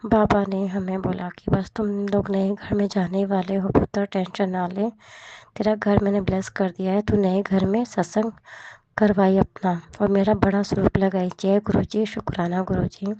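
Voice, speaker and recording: A woman with a hoarse, cold-roughened voice, earnestly sharing a devotional testimony and repeating her thanks. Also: medium pitch, flat delivery, moderate pace, warm tone, no room sound, lo-fi sound.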